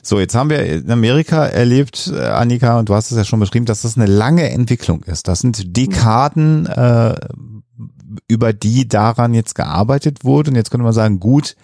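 A dark, relaxed male voice of medium pitch. He hosts an interview in a deliberate, conversational manner, recorded very dry. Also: creaky, dry recording. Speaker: podcast host, interviewer.

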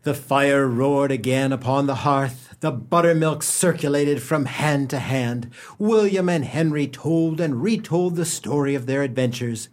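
An actor playing a droll, mock-solemn storyteller narrating a comic radio play. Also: male voice, mid-range voice, a little slow, hardly any pauses, close to an everyday voice.

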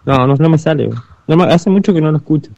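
A hammy podcast host with a mock Argentine accent tinged with Spain Spanish, as a young man puts him on. His voice sits a little high, and the recording sounds dull and lo-fi. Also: fast, dark tone, no echo, close to an everyday voice. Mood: mock announcing. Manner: hammy, self-mocking podcast intro parody.

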